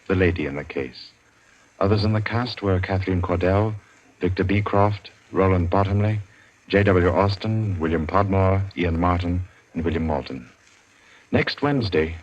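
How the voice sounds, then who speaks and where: A man announcing in a measured, formal scripted manner in the style of 1940s radio. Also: low-pitched. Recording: muffled vintage radio recording.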